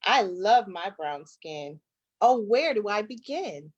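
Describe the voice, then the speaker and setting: Slow, expressive woman reading to children. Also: medium pitch, highs cut off. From Flint, Michigan, United States. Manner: expressive, child-directed, drawn-out phrasing. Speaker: guest children's author. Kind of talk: reading a picture book aloud to children.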